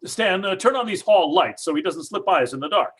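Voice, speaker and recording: A man's voice: high-pitched, lively intonation, brisk, a bit bright, slightly rough, full character voice. An actor playing a stubborn, skeptical middle-aged father in an old-time radio horror drama, blustering and combative. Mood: impatient, determined. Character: stubborn, skeptical father, angrily sure of a hoax.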